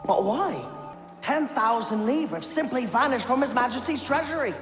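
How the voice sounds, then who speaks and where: A man's voice: extremely high pitch, animated, normal speed. A man playing a fussy, aggrieved royal tax official in a video game. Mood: indignant. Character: indignant, anxious male royal tax official. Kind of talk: cinematic video game acting. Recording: echoey hall, music behind.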